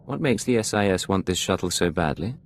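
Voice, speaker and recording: An actor playing a cool, defiant intelligence agent in a video game, terse and confrontational. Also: male voice, sits low, lively intonation, a little fast, slight background noise. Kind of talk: dramatic video game voice acting.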